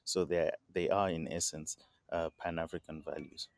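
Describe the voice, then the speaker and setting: Very deep-voiced man, measured and hesitant. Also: little pitch movement, darkish tone, no echo, studio quality. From Zimbabwe. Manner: measured, with many hesitations and repeated words. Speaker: guest. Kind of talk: reflective interview answers.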